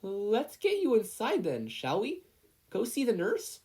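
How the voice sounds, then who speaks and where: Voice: medium pitch, wildly swooping pitch, unhurried, warm tone, voice pushed up. A story narrator voicing a prim, flustered woman who teaches kindergarten. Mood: nervous coaxing. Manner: scolding, then coaxing.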